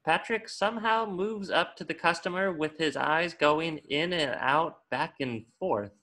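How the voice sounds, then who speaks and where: A man's voice: fairly high pitch, a little slow, pushed and bright. A man reading scripted stage directions as the narrator of a table read.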